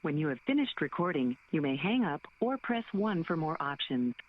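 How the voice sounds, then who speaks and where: Through a dull, filtered phone line comes an automated voicemail announcer, voiced by a man. The delivery is formal and impersonal, with a slightly high pitch and a clearly rising and falling intonation, like a recorded system prompt. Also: big pitch swings, moderate pace, a little hiss or hum. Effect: telephone filter.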